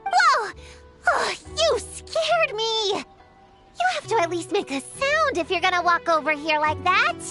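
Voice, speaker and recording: Excitable high-pitched scholarly girl. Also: slow, music in the background, full character voice. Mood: startled, flustered. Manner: earnest, wordy, precise phrasing. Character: young girl, scholarly aspiring fighter, proud, excitable, easily flustered. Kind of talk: anime-style video game voice acting.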